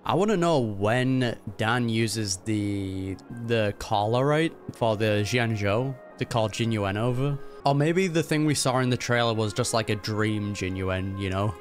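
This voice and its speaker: A man's voice: on the low side, expressive, a little slow, hardly any pauses. A man hosting a reaction video, commenting on a game in a laid-back, slangy, amused way. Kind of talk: casual gameplay reaction commentary. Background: game music and dialogue.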